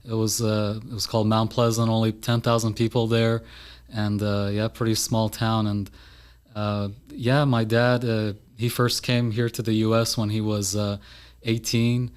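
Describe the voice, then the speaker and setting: A man's voice: on the low side, little pitch movement, measured pace, clear, resonant tone. A man of about thirty from Iran, a podcast guest talking casually in a studio with lots of "uh" and "you know" fillers. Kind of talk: casual interview talk.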